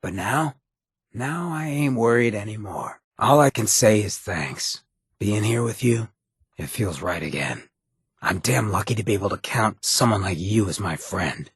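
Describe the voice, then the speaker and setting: An actor playing a swaggering, sardonic but loyal male ghoul rebel in a video game, with a very raspy, gravelly American voice and a streetwise, folksy drawl. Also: fairly low pitch, animated, unhurried, no echo, studio quality, exaggerated voice. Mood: warm, sincere. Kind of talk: video game companion voice acting.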